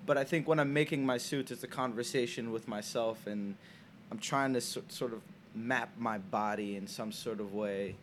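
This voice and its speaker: Young male art student from Senegal, casual and hesitant, faint room noise. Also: mid-range voice. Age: young adult. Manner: halting, many restarts and fillers. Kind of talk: casual interview chat. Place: small college radio booth.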